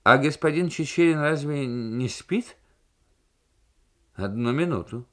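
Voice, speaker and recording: A man's voice: mid-range voice, expressive, slow pace, fairly bright tone, voice pushed up. A man plays a German telephone attendant in a radio story. He is stiff and officious, speaking with pedantic, haughty formality.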